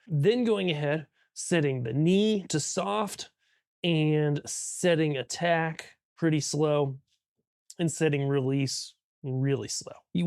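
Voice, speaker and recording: A man's voice: a little high, a little mellow, dry recording, studio quality. A man explaining things as an audio instructor in an informal, conversational tutorial, thinking aloud as he goes.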